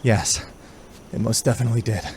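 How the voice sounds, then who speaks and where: A man's voice: on the low side, fairly even intonation, brisk, darkish tone, close to an everyday voice. A man playing an alert young scout in a fantasy audio drama, speaking in clipped, urgent phrases. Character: alert young male scout who knows the woods. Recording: noisy ambience around the voice.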